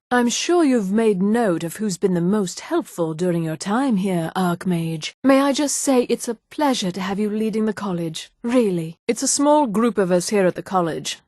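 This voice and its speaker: An actress voicing a vain, scheming female high elf for a fantasy video game, with a haughty, poised, condescending manner. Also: moderate pace, few pauses, warm tone, dry recording, clean studio sound, natural voice. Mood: smooth, ingratiating. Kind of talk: scripted video game character dialogue.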